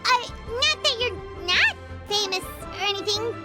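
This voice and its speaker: An actress voicing a tiny, excitable female fairy companion in a video game, who refers to herself by name and blurts out questions. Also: very high-pitched, a little slow, exaggerated voice. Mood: excited. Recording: game audio with loud music behind.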